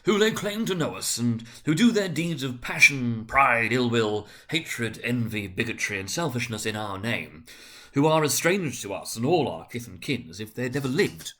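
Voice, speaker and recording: A man's voice: medium pitch, lively intonation, moderate pace, sharp tone. A man voicing a gigantic, hearty spirit in a storybook, speaking with solemn, emphatic indignation. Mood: stern, indignant. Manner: emphatic, declamatory.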